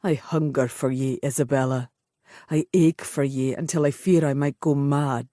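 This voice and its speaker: A woman voicing a brooding, cursed Highland man with a low, strained voice. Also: steady tone, normal speed, warm tone, no room sound, studio quality. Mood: tormented.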